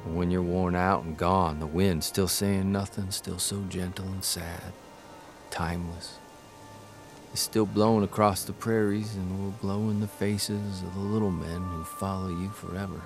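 A man performs a wistful grown-up farm boy turned writer in an audio drama. The voice is deep and crackly, measured and contemplative. Music plays clearly behind it. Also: steady tone. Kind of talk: audio drama, literary reflective reading.

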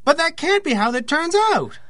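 A man's voice: extremely high pitch, sing-song, normal speed, bright, forceful tone, dry recording, heavily acted. An actor playing an intense, guilt-ridden man confessing to murder in an audio drama. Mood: shocked, distraught.

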